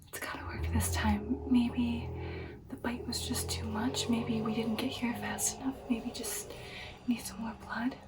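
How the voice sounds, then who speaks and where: A woman playing a caring, anxious vampire girlfriend in a whispered ASMR roleplay, speaking softly and haltingly in a soothing way. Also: fairly high pitch, flat delivery, far from the mic, close to an everyday voice. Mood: concerned, coaxing. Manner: soft, hushed, halting, soothing personal attention.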